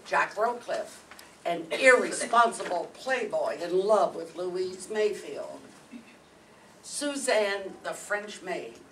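A woman introduces a radio drama from a script. Her voice is bright and projected at a slow pace, picked up from a distance with faint room noise. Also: fairly low pitch, lively intonation. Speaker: presenter. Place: meeting room stage before an audience.

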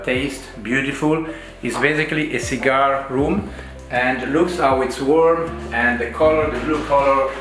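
A middle-aged man who is not a native English speaker, presenting a home walkthrough in a halting but enthusiastic way. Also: mid-range voice. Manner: halting, filler-heavy, enthusiastic praise. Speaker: designer presenting a home renovation. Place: tiled and furnished rooms inside a villa. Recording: very echoey rooms, background music. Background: instrumental guitar music.

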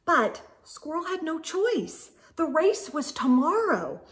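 A middle-aged woman reading a picture book aloud to young children, expressively, with dramatic pauses and exclaimed asides. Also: high voice, sing-song. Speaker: children's storytime host. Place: small indoor room with bookshelves.

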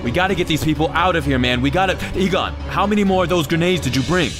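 A man as an urgent ghost hunter over loud music. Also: on the high side, quick pace, lightly acted. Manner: urgent, commanding. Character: urgent, take-charge male ghost hunter in battle. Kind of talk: comic dub action acting. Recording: loud music and battle effects.

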